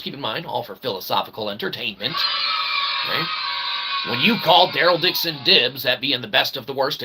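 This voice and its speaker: Creaky-voiced man rambling over clear noise. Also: mid-range voice. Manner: mock-pompous, wordy, repeatedly ending phrases with "okay". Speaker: channel host. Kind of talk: rambling philosophical comedy monologue.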